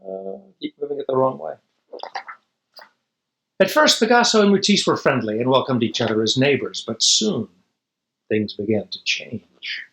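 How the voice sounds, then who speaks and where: A man's voice: mid-range voice, expressive, moderate pace, gravelly, long pauses, a bit bright, reverberant, a little hiss or hum, rough recording. A man reading a children's picture book aloud expressively, with dramatic emphasis and casual asides. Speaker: storybook reader.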